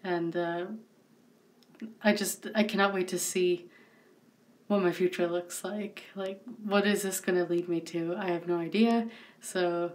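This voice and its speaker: A woman's voice: lowish voice, measured pace. Chatty stuffy-nosed woman vlogger. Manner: chatty and excitable, laughs often. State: stuffy nose from allergies. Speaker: YouTuber. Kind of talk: casual vlog. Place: small bedroom indoors.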